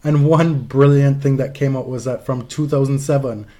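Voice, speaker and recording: A man's voice: mid-range voice, steady tone, moderate pace, dark tone. A man co-hosting a casual sports podcast, giving opinionated commentary in an emphatic, freely swearing way. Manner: emphatic, frequent profanity. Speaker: podcast co-host.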